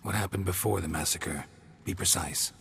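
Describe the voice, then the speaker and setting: Low, deadpan mercenary. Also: male voice, moderate pace, vocal fry, slight background noise. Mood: grim. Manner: terse, deadpan. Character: stoic, laconic monster-hunting mercenary man. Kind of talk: dramatic video game acting. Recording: loud music behind the voice.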